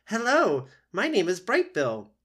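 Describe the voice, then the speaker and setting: A tiny, curious gosling squeaks out slow, high words, as a male storyteller puts him on. He sounds eager and childlike. It fits a children's storybook. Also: wildly swooping pitch, a bit bright, husky, full character voice. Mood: cheerful.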